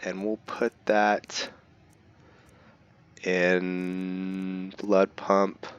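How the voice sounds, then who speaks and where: A man giving casual gameplay commentary, thinking aloud in halting fragments as he hosts a gaming video. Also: deep voice, monotone, clear, resonant tone, highs cut off. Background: game music and sound effects.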